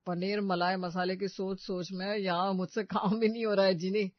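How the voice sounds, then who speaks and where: A fussy young husband obsessed with food, in an audio storybook, played by a woman. The voice is medium in pitch, dry and a bit dull in sound. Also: level tone, normal speed, dry recording, natural voice. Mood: pleading. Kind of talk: Hindi moral-story dramatization.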